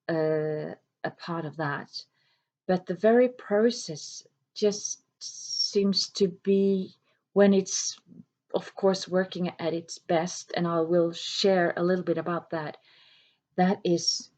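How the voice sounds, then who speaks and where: Slow, hesitant Norwegian-speaking woman. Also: lowish voice, darkish tone. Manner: slow, hesitant, many ums and restarts. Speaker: self-published author. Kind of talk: reflective talk to camera.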